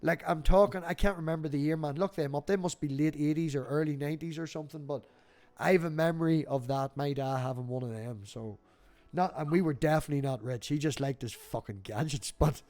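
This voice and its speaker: A man's voice: on the high side, fairly quick, a little mellow. A middle-aged man chatting casually on a livestream, talkative and sweary, telling anecdotes. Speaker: livestream host.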